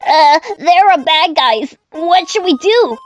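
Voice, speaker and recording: A woman's voice: very high voice, lively intonation, takes it slowly, soft background music, heavily acted. A woman voicing a timid, worried young boy goat monster in a comic fan dub.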